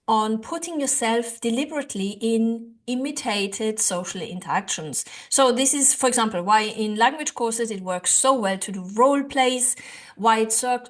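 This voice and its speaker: A woman whose first language is German gives an earnest, rambling English lesson to camera, with many fillers and restarts. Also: a little high. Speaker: language-learning YouTuber. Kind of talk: casual teaching to camera.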